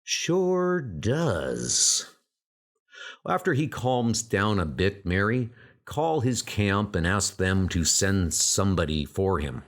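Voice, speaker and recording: A man's voice: on the low side, very animated, slow pace, exaggerated voice. A man playing a folksy, matter-of-fact small-town sheriff in a horror comic dub. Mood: grim, reflective. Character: small-town sheriff recounting grim old deaths.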